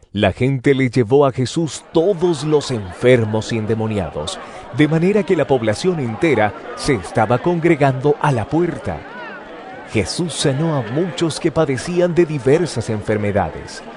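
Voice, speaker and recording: A man's voice: medium pitch, darkish tone. A man narrating scripture as scripted audio drama in a solemn storytelling manner. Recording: music bed behind the voice.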